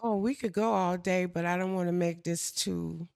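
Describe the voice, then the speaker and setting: An older woman from the States, chatting with her daughter in a soft, gentle, unhurried way. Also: fairly low pitch, steady tone, creaky, clean tone, no echo, clean studio sound. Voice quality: soft-spoken. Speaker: mother and co-host. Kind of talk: casual chat.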